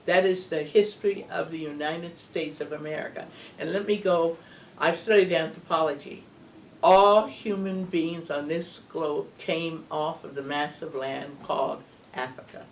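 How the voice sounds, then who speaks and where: An older woman speaking as an interview guest, measured and emphatic, often asking rhetorical questions. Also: sits low, steady tone. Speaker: guest, former legislator. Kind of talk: earnest interview talk. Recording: distant mic with faint background hum.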